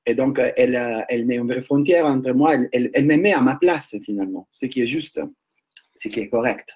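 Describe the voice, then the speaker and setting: A man in his forties from Italy, speaking as a researcher and filmmaker on a round-table panel, hesitant with many pauses and restarts, over a webcam video call from home. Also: medium pitch, darkish tone, breathy edge. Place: room at home.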